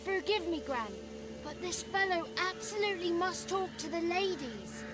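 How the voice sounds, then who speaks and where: A woman's voice: very high-pitched, lively intonation, normal speed, over music. A woman voicing a crude, playful little boy creature in a video game, speaking in a wordy, theatrical way. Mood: cheeky. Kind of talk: video game character acting.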